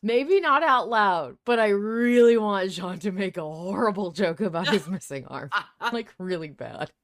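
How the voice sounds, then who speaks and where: A woman's voice: mid-range voice, lively intonation, unhurried, dry recording, studio quality. A woman hosting a podcast, chatting casually and musing informally about a story. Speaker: podcast host. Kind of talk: casual discussion of a fiction podcast.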